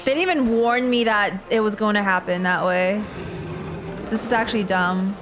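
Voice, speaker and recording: A woman's voice: medium pitch, moderate pace. Casual woman game streamer, music behind. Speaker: streamer playing and reacting to a game. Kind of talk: casual live gaming chat, thinking aloud.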